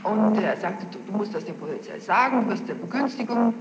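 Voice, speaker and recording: A woman's voice: mid-range voice, lively intonation, brisk, over a noisy crowd. A young adult woman giving spontaneous, insistent testimony as a witness, repeating words for emphasis. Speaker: witness being questioned. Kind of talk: spontaneous testimony recounting events. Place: reverberant room, taped interrogation. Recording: noisy, muffled, echoey archival tape.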